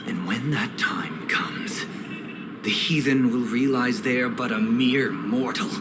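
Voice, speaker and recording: An actor playing a solemn, righteous winged brother in a video game, speaking in formal, measured, sermon-like phrases. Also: male voice, fairly low pitch, background noise. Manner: formal, measured, preachy with quotations. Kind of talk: scripted dramatic video game cutscene dialogue.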